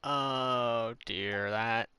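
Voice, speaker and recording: A man's voice: mid-range voice, fairly even intonation, extremely slow, pushed and bright, smooth. A man who is streaming a game, reacting casually with muttered asides as he plays. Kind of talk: casual gameplay reaction commentary.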